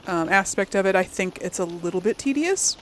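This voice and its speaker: A woman's voice: mid-range voice, animated, brisk, fairly bright tone, a little hiss or hum. A woman hosting a casual gaming let's-play, chatting and thinking aloud as she plays. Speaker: gaming channel host playing through a game.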